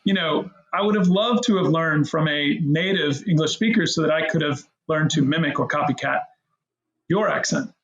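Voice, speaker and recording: Middle-aged male guest, rambling and gravelly, recorded in a roomy space. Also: on the high side, normal speed, highs cut off. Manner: rambling, animated, many restarts and fillers. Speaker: guest, co-founder of a language app. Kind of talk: casual interview talk.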